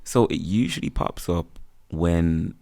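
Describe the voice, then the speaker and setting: Gravelly deep Birmingham man, relaxed chat. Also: slow, no room sound. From England. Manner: relaxed, rambling, lots of "like". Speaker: guest, freelance photographer. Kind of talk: casual interview chat.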